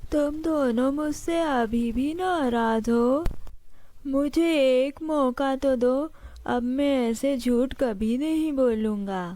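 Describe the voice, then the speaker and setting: A woman's voice: high voice, slow, mellow. A woman voicing an apologetic, earnest young boy in a children's cartoon story. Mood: apologetic, pleading. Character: apologetic young boy and his forgiving young friend.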